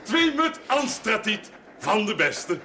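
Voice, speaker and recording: A man's voice: high voice, sing-song, slow, sharp tone, heavily acted. An actor playing a simple-minded, childlike village man who blurts and repeats himself in a television drama. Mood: insistent. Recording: noisy 1970s television soundtrack.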